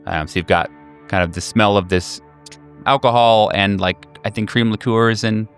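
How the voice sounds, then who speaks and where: Male game master, lowish pitch, halting improvised scene description over faint music. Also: fairly even intonation, clear, resonant tone. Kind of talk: improvised tabletop game narration.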